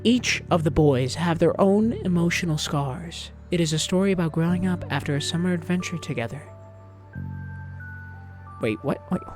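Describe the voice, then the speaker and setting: A chatty woman streamer talks and laughs as she reads aloud, breaking off her own sentences. Her pitch swings widely. Game music plays steadily behind her voice. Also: expressive, normal speed. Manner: chatty, jokey, self-interrupting, laughs often. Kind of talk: casual live-stream chat and manga reading. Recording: background music under voice.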